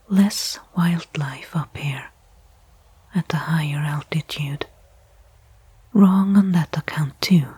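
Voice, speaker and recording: A stranded woman explorer, voiced by an ASMR actress, murmurs to herself in a very breathy, low voice. She is slow and halting, with a soft, mellow tone. Her temper is wry, stubborn and resigned, as in an intimate sci-fi audio roleplay. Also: no room sound, heavily acted. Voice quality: breathy, whispery.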